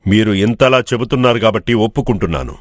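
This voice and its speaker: A man plays a village elder in an animated folk tale, cautious and full of doubt. The voice is fairly low and weighty, questioning, with a very dry sound. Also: quick pace, no echo, close to an everyday voice. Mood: doubtful. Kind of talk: animated moral story dubbing.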